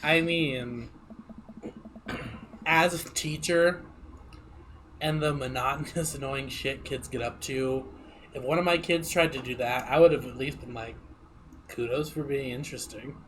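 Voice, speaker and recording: A man's voice: medium pitch, a little slow, pauses often, a bit bright, a little hiss or hum, rough recording. A stuffy-nosed man streaming a story game, reading it aloud and chatting with a laugh.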